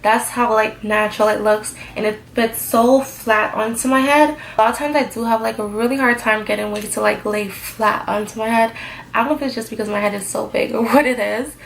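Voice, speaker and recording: A woman's voice: a little high, fairly even intonation, a little fast, vocal fry, a little hiss or hum, low-quality recording. A young woman with a stuffed-up nose from a cold, chatting casually as she reviews a wig and filling her talk with "like". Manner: chatty, filler-heavy, lots of "like". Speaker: hair vlogger.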